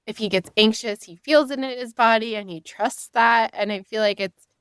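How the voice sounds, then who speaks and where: A woman's voice: a little high, normal speed, fairly bright tone, clean tone, no echo, studio quality. A woman in her thirties hosting an interview podcast, affirming and admiring toward her guest. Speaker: podcast host.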